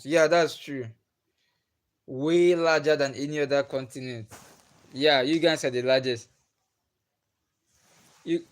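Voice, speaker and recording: A young Nigerian man, a native Igbo speaker, casually chatting with viewers on a livestream and asking them questions. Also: mid-range voice. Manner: chatty, laughs often, asks viewers questions. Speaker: livestream host. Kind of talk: casual chat with live viewers. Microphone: phone or webcam on a livestream.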